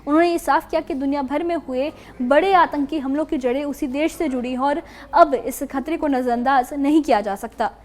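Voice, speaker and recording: A woman reading a scripted news report as a reporter. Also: high voice. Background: background music bed.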